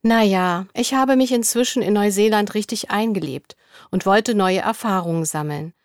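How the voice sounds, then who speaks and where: A young woman in a studio, reading interview answers in a scripted dialogue at an even, clear pace. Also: medium pitch, moderate pace, no room sound.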